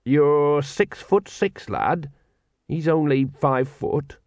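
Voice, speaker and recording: A man's voice: fairly high pitch, a little mellow, dry recording, clean studio sound, pitched above the performer's own voice. A man voicing a worried old male dwarf king who hesitates and fumbles for words. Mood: hesitant. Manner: hesitant, halting with ums.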